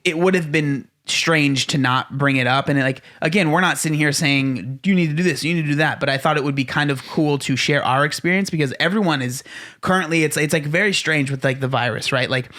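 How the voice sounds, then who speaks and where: A man's voice: fairly high pitch, brisk, bright, forceful tone. A young man co-hosting a casual podcast, talking in loose rambling sentences full of "like" fillers. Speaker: podcast co-host. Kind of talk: casual chat.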